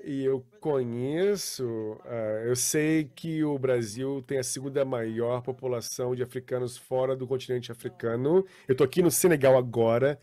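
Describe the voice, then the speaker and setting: Man, live interpreter, halting self-corrections, dark mellow tone, video call audio. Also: mid-range voice. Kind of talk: live interpretation for an online panel.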